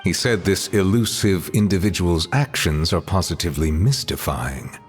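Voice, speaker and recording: A deep-voiced, composed gentleman in a video game. His formal, precise lines move expressively in pitch, set in a long reverb. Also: animated, faint music behind. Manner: formal, measured, precise diction.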